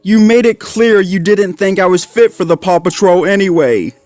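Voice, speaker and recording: A man voicing a clumsy young male dalmatian pup who shouts in hurt and anger at his friends. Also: sits high, normal speed, no room sound, soft background music, voice pushed up, close to an everyday voice. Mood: hurt pleading. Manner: shouting through tears, then choked and pleading.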